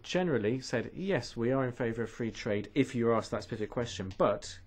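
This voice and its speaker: A man from Canada speaking at a political conference with an earnest, urgent tone. His voice sits at an ordinary middle pitch. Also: animated, brisk, breathy edge.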